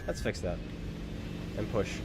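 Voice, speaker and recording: Chatty guy from new england, plain american accent, streaming a tank game over steady background noise. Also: fairly low pitch, a little slow. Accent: plain General American, Boston trained out. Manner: chatty, talks to viewers by name. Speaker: gaming streamer. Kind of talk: casual chat while playing a tank game, reading chat.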